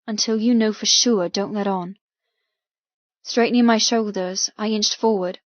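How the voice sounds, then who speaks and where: A woman narrates a first-person novel in a medium-pitched voice. Her delivery is measured and dramatic. The sound is very dry and close, with slightly muffled highs. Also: steady tone, dry recording. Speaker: audiobook narrator.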